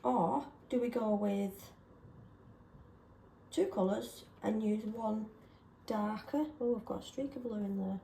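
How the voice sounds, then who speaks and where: A woman chatting casually and self-deprecatingly while testing paint colours, slightly hoarse from a sore throat. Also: medium pitch, slow pace, long pauses, warm tone, echoey room, a little hiss or hum. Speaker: craft vlogger.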